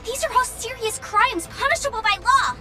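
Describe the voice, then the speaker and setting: A woman playing a flustered, rule-minded young female mission operator in a game, excitable and quick to scold. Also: very high-pitched, over music. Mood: outraged. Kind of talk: dubbed game cutscene voice acting.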